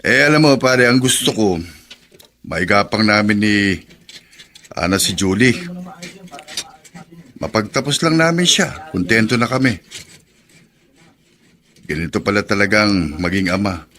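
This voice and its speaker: A man's voice: fairly low pitch, lively intonation, a little slow, pauses often, pushed and bright, a little hiss or hum. A man playing a hardworking, earnest middle-aged father and mechanic in a radio drama. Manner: earnest, good-natured.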